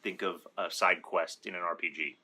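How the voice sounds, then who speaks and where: A man's voice: deep voice, fairly even intonation, moderate pace, pushed and bright, slightly rough. A man giving casual, unscripted opinion commentary in an informal, rambling way, correcting himself as he goes and sometimes swearing. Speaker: video commentator.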